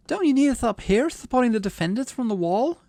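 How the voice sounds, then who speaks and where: A man's voice: extremely high pitch, big pitch swings, a little fast, warm tone, dry recording, pitched above the performer's own voice, exaggerated voice. A man voicing an anxious, hesitant young female soldier in a sci-fi game, stammering and unsure. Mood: doubtful. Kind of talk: acted reading of video game dialogue.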